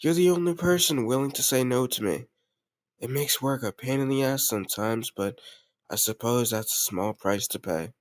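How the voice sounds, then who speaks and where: A man's voice: mid-range voice, normal speed, no echo, lightly acted. A man playing a doting but ruthless mafia boss in an intimate audio roleplay, commanding yet affectionate, using gangster slang. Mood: amused, fond.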